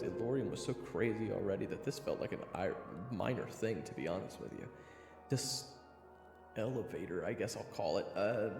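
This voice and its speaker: A man's voice: low voice, creaky, roomy sound, long reverb tail, full character voice. A man playing a brash, sarcastic young hero who also narrates a fantasy audio drama in blunt first person.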